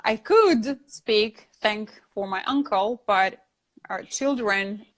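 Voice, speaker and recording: A woman's voice: mid-range voice, takes it slowly, low-quality recording. Chatty Dargin-speaking woman on a video call. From Dagestan, Russia. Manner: chatty, hesitant, frequent fillers and laughs. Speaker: English teacher. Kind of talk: casual video call conversation.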